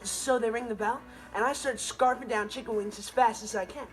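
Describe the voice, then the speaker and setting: A man's voice: very high-pitched, steady tone, brisk, rough recording. A young male actor playing an earnest, responsible, easily exasperated teenage stepbrother, telling stories with emphatic comic timing in a TV sitcom. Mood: indignant storytelling. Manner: emphatic, theatrical comic delivery. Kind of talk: scripted comic monologue in a TV sitcom. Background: music and laughter.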